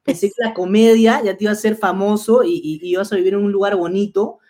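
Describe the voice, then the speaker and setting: A man imitating his worried mother, who scolds in a slow, fretful drawl. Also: high voice, fairly even intonation, mellow, natural voice. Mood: fretful scolding. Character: fussy worried Peruvian mother, middle-aged.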